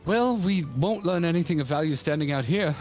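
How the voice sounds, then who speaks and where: A man reading the part of an earnest young male scholar in a measured, formal way. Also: fairly high pitch, expressive, faint music behind, voice pushed up, close to an everyday voice. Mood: resolute.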